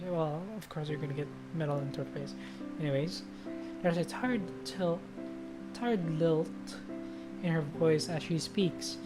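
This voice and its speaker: A woman game streamer reading a visual novel aloud in a casual, sweary manner, with guitar music behind her. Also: sits low. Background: loud guitar music.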